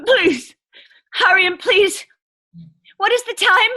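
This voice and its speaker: A woman's voice: very high-pitched, slow pace, pushed and bright, full character voice. A woman playing an anxious, demanding invalid wife in a suspense radio play, melodramatic and stammering as she pleads. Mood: impatient, pleading. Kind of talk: 1940s-style suspense radio play acting.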